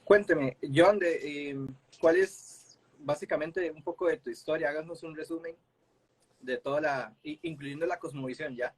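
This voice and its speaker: A man's voice: fairly high pitch, normal speed, slightly rough, low-quality recording. A Costa Rican man with a Costa Rican accent hosting a casual video-call interview, friendly, asking open questions. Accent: Costa Rican Spanish. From Costa Rica. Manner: friendly, asks open questions with short backchannels. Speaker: host. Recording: faint background noise.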